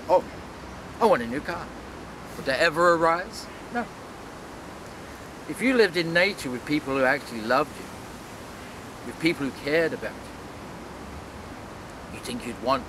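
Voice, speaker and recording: Casting: man, 60s, reflective interviewee, deliberate with pauses, recorded outdoors with clear background noise. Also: on the high side. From London, England. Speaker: world traveler being interviewed. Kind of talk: reflective personal storytelling to camera. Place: outdoors in a leafy city park.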